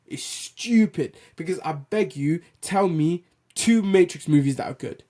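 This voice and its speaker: A man with a loud voice hosting a film and TV show, giving an animated, opinionated rant with casual swearing. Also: a little high, unhurried. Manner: animated, blunt, swears casually, restarts sentences. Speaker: host of a film and TV news show. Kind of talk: opinionated solo commentary rant.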